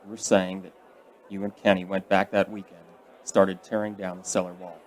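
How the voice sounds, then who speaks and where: A man acts a middle-aged investigator in a radio play, stern but fatherly. His voice is on the low side, and he speaks with measured, probing authority. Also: little pitch movement, close to an everyday voice. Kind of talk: radio drama acting.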